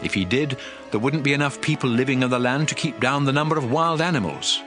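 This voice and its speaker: A man's voice: mid-range voice, quick pace, a bit bright, close to an everyday voice. An actor playing a stern, fervent prophet who addresses his people in a solemn, emphatic, declamatory dramatized scripture reading. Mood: urgent, assuring. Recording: music under the voice.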